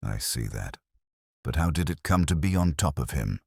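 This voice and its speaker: A sceptical medic in a mystery story, acted by a man narrating, speaking very low. He is brisk and clipped, questioning what he sees, with a dry, close sound. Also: big pitch swings, gravelly, husky, dry recording, heavily acted. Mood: sharp.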